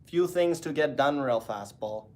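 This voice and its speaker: A man's voice: a little high, a little slow. A man who casts esports, chatting casually to his stream from a hotel room, with a stuffy nose. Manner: rambling, relaxed, talking to chat. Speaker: esports caster. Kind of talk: casual livestream chat.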